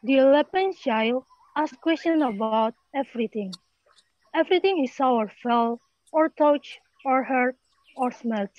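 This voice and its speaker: A woman's voice: high voice, measured pace, no room sound. A young woman reads story narration from a script. The sound is dry and dull, like a lo-fi video call. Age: young adult.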